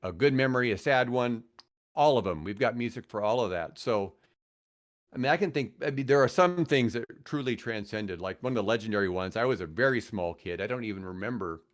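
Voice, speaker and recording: An older man with an American accent, telling rambling, animated anecdotes casually as a radio veteran on a podcast. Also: medium pitch, fairly quick. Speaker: radio host and guest.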